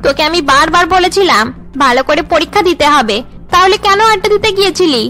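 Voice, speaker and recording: A woman voicing an angry, nagging mother in a melodramatic cartoon, shaming her son with sharp reproach. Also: very high voice, expressive, fast talker, soft background music, heavily acted. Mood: scolding. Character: angry, scolding, status-obsessed mother of a failing son. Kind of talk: melodramatic Bengali cartoon dubbing.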